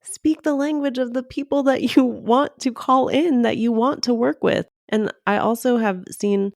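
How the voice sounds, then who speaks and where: A woman's voice: a little high, steady tone, normal speed, a little mellow, no room sound, studio quality. A woman co-hosting a podcast, giving advice in a conversational, explanatory way that leans on "like" and "right?". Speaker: podcast co-host.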